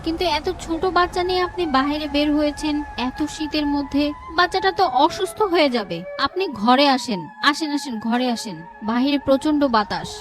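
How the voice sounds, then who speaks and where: A woman playing a kind, hard-up village mother in an animated story, gentle and concerned in manner. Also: sits high, a little fast, lightly acted. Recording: music under the voice.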